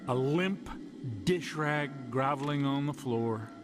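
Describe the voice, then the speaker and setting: Man acting a slow-talking, smug Nazi villain. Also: medium pitch, background noise, lightly acted. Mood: smug mockery. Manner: slow, mocking, condescending. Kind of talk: video game villain acting.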